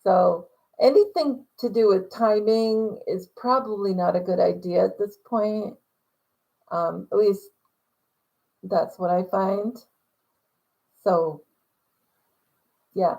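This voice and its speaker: A woman with a quiet, mellow voice chats slowly on her livestream, circling back with lots of fillers and restarts. There's some gravel in her tone as she answers questions from viewers. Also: medium pitch, low-quality recording. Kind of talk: casual livestream chat. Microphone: phone.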